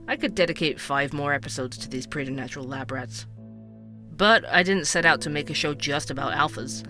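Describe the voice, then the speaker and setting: Male actor as earnest investigative podcast host, slightly high bright voice, confiding scripted narration, music underneath. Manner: musing, confiding, addresses listeners directly. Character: bored night-shift coffee shop worker turned earnest investigative podcaster.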